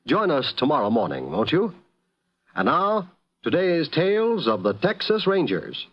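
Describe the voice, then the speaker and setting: A man's voice: a little high, wildly swooping pitch, unhurried, a bit bright. A man with an American accent reading a scripted 1950s network radio promotion as the announcer.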